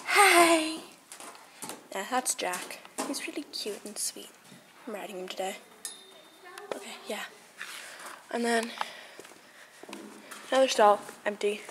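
A woman chatting casually as she films a handheld vlog, rambling and trailing off as she gives a tour. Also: a little high. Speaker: vlogger giving a barn tour. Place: horse barn and its grounds. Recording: noisy handheld camera recording.

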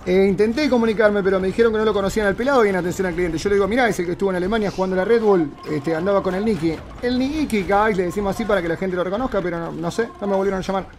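A man's voice: high voice, rapid-fire, hardly any pauses, slight background noise. An Argentine man with a Rioplatense accent, a live streamer rambling straight-faced through an improvised prank phone call. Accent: Argentine Rioplatense Spanish. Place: indoor home streaming room.

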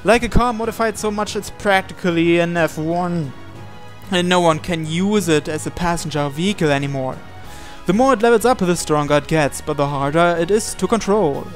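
A man's voice: high voice, normal speed. A man reading visual novel narration aloud in a dramatic storytelling style. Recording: game music playing behind. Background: video game music.

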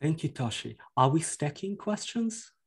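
A man giving an academic talk over a video call and answering questions in a measured way, with frequent fillers. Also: on the high side, very animated, husky. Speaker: guest lecturer.

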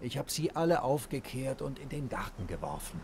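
An actor playing a gentle, affectionate young nobleman newly married. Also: mid-range voice, big pitch swings, brisk. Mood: tender. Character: young bandit nobleman, tender newlywed husband. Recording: game audio with faint noise.

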